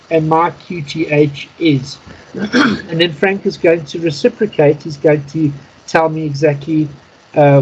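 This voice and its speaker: Husky man teaching, muffled audio. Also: a little high. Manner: methodical, explanatory, spelling in phonetic alphabet. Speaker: Morse code instructor. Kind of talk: informal online radio practice class. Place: online video call.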